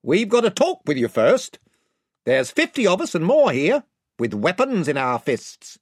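A man's voice: sits high, huge pitch swings, a little slow, darkish tone, dry recording, clean studio sound, pitched above the performer's own voice, exaggerated voice. A man voicing a self-important, rambling chief of simple folk in a storybook, with a folksy manner. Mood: blustering. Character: pompous, rambling, rustic invisible chief, male.

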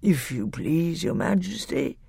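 A narrator puts on an elderly male bear from a children's storybook. The voice is thick and drowsy, drawn out slowly. He sounds simple, polite and good-natured. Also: medium pitch, expressive, vocal fry, warm tone, husky, no room sound, studio quality, voice pushed up, heavily acted. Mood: humble, sleepy. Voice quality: thick, sleepy voice. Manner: slow, humble, plodding.

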